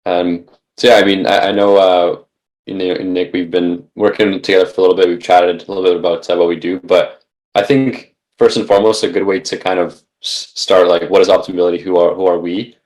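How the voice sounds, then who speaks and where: A man's voice: low voice, brisk, rough recording. A man in his twenties from Bulgaria, a podcast guest introducing himself casually with many uhs and restarts. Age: 20s. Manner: relaxed and hesitant, many fillers. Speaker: guest, co-founder.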